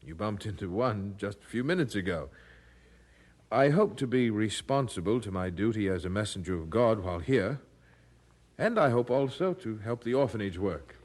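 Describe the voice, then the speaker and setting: An actor playing a devout, courteous, resolute preacher in an audio drama, speaking formally and earnestly. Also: male voice, fairly low pitch, very animated, moderate pace, a little mellow.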